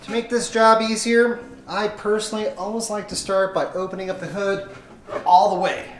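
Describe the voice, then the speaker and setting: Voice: mid-range voice, fairly even intonation, normal speed. Conversational American how-to host, noisy recording. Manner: explanatory, conversational. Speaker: host of an automotive how-to channel. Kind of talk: casual how-to tutorial narration.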